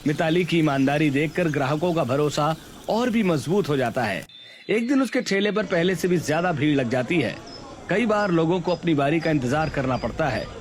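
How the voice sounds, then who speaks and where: A man's voice: a little high, expressive, moderate pace, a little hiss or hum. A man reading scripted storybook narration for a Hindi cartoon tale. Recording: background music under voice.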